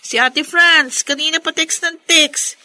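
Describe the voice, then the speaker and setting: An actress playing a resentful, pleading married schoolteacher in a Filipino radio drama. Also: female voice, sits high, fairly even intonation, measured pace, pushed and bright, no echo, heavily acted. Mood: puzzled, insistent.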